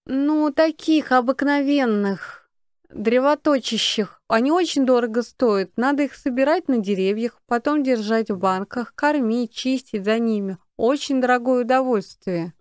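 A man voicing a meek, plaintive wife and mother in a put-on high female voice, in a satirical audio story. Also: a little slow, clean tone, no room sound, clean studio sound. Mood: apologetic.

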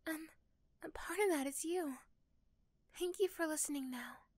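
A woman voicing a young, caring but easily flustered girl next door in an ASMR roleplay, with an emotional, halting delivery. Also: high-pitched, darkish tone, no echo, voice pushed up, lightly acted. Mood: tender, grateful.

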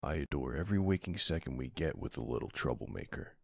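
A man playing a tough but soft-hearted mafia boss husband in an intimate ASMR roleplay, murmuring tenderly with a very deep, gravelly voice. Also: lively intonation, fast talker, dry recording, clean studio sound, full character voice. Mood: tender, uneasy.

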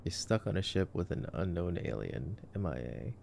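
A man's voice: deep voice, very animated, moderate pace, gravelly, darkish tone, a little hiss or hum. A young man giving casual, joking, intimate movie-watching commentary in a boyfriend sleep-aid roleplay, full of slang. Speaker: ASMR roleplay voice actor.

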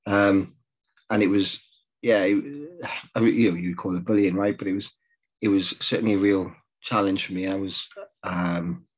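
A man's voice: sits low, steady tone, moderate pace, lo-fi sound. A middle-aged man from South Africa, a therapist, talking hesitantly with many fillers on a video call. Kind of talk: reflective group discussion on video call. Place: home room. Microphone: video call webcam.

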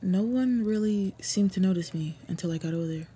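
A woman playing an earnest, devoted half-orc woman in a fantasy roleplay audio, speaking formally and softly with a breathy voice. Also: mid-range voice, moderate pace. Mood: earnest, reminiscing.

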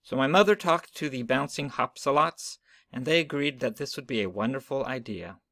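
Male storybook narrator, even and gentle, dry home recording. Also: medium pitch, normal speed, no echo. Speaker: reader of a children's book. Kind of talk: reading a picture book aloud.

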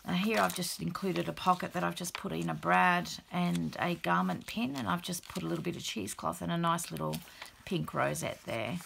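A middle-aged woman with a croaky, gravelly voice after the flu, casually showing a handmade journal at her craft table, relaxed and chatty with many fillers. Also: fairly low pitch, normal speed, a little hiss or hum. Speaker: craft channel host. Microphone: overhead camera, hands only.

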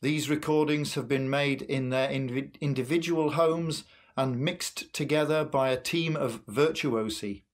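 An older man leading church worship and prayer in a solemn, measured manner. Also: mid-range voice. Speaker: worship leader. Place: indoor room at home, in front of curtains.

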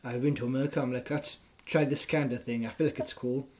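A young man with an Israeli accent talks through a headset from a small room at home, his voice mellow and on the darker side. He tells his story earnestly, a guest on a casual interview, tagging his sentences with a questioning "right?". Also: medium pitch, fairly even intonation, quick pace. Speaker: guest, personal trainer.

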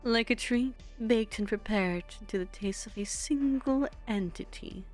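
A woman's voice: medium pitch, measured pace, darkish tone, close to an everyday voice. Woman voicing scorpion-woman seer, dreamy, poetic, faint music behind.

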